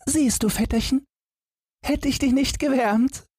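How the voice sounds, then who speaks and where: A man's voice: extremely high pitch, a little slow, darkish tone, slightly rough, no echo, studio quality, voice pushed up, full character voice. A man voicing a fearless, naive and cheerful young lad in a storybook tale, perky and cheeky. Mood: cheerful, coaxing. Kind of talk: storybook character voice.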